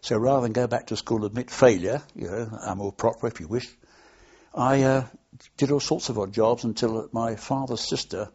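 A man's voice: mid-range voice, normal speed, darkish tone, husky, muffled recording. An older man from London, reminiscing at length in an interview, rambling with many hesitations. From London, England. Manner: rambling, anecdotal, frequent hesitations. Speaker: oral history interviewee. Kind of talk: reminiscing, unscripted.

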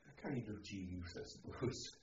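An elderly man reminiscing in an oral history interview, speaking in a halting, reflective way. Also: fairly low pitch, animated. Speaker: interviewee, former army officer. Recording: dull, noisy, echoey, distant microphone.

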